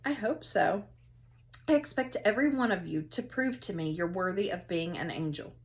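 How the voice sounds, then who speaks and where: A woman's voice: on the low side, lively intonation, normal speed. A woman voicing an upbeat female third-grade teacher in a storybook, emphatic and teasing with her class. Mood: earnest.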